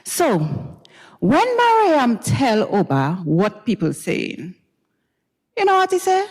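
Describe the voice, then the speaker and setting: Grenadian lady reading a story out loud, slow and musing, gossipy, big reverby hall. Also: fairly high pitch, husky. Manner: expressive, gossipy, musing hums and pauses. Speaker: dramatic reader. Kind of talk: dramatized storytelling reading with dialect. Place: university recital hall stage.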